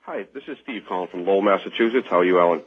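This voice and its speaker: A man calling a radio show by telephone, talking in a casual, halting way. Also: low voice, a crowd in the background. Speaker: phone-in caller. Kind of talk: conversational radio call-in. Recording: narrow lo-fi phone line.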